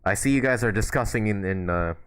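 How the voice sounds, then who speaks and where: A man's voice: on the low side, a little fast, clean tone. A man doing informal live gameplay commentary as a streamer, with wry, sometimes sweary asides. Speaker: game livestreamer. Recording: faint game music behind voice. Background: faint game music.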